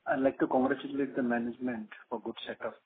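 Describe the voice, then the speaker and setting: A man's voice: medium pitch, flat delivery, quick pace, breathy edge. A man, an investor on an earnings call, wordy and full of praise, with many restarts and fillers. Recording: phone-line call audio with faint noise.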